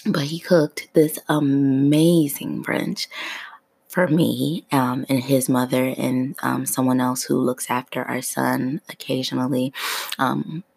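Sleepy, low, gravelly woman podcaster. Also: slow, no room sound. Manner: relaxed, free-flowing, with soft laughs. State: sleepy morning voice. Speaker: podcast host. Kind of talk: casual solo podcast chat.

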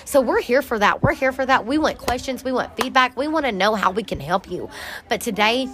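A woman's voice: fairly high pitch, expressive, brisk, noisy background. A woman co-hosting a faith-based podcast, speaking in a preachy, rallying way, recorded outdoors on a back deck. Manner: preachy, rallying, says "Amen". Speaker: podcast co-host. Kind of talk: faith-based encouragement talk.

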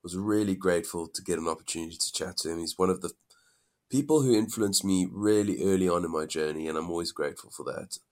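A man's voice: sits low, fast, darkish tone. A man hosting a podcast interview, upbeat and enthusiastic, sniffly from a cold. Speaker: podcast host.

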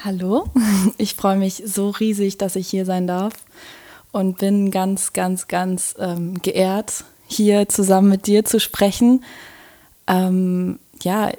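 A woman's voice: medium pitch, flat delivery, slow pace, warm tone. Bubbly young Berlin woman chatting. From Germany. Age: 20s. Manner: enthusiastic, laughs often, many fillers. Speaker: podcast guest, design student. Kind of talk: casual chat.

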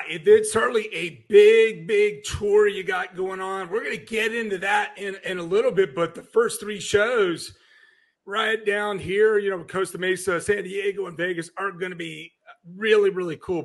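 A man's voice: high-pitched, moderate pace. An American man hosting a show, interviewing a guest in a casual, chatty style.

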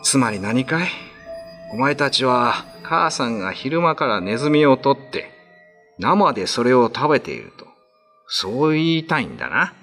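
A man's voice: mid-range voice, big pitch swings, normal speed, sharp tone, faint music behind. A man playing a disbelieving father who scolds his children, stern and incredulous, in a radio drama. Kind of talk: radio ghost-story drama.

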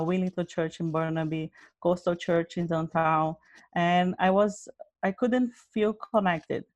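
Lady telling her faith story, lowish voice, dry close sound, earnest plain english. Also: a little mellow, no room sound. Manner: earnest, simple non-native phrasing. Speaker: interview guest, Bible study leader. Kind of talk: personal testimony in an interview.

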